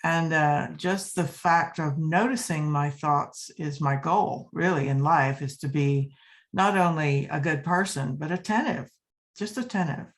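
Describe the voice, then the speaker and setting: A woman in an online study group, sharing her thoughts enthusiastically and warmly thanking the others over a video call. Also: deep voice. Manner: enthusiastic, heartfelt. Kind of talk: casual group discussion.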